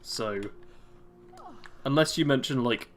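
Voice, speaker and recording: A man's voice: mid-range voice, takes it slowly, slight background noise. A man with a British accent, streaming a video game and chatting casually with his chat, chuckling as he reads comments aloud.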